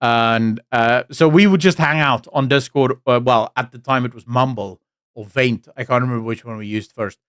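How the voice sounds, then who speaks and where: A man's voice: mid-range voice, moderate pace, fairly bright tone, smooth, no echo, clean studio sound. A man in his thirties from South Africa, an Afrikaans speaker whose English accent mixes South African, British and American sounds, rambling through frustrated political commentary and personal stories. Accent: South African English, mixed with British and American. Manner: frustrated, rambling, with frequent restarts and asides. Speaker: commentary channel host. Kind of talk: casual political commentary and storytelling.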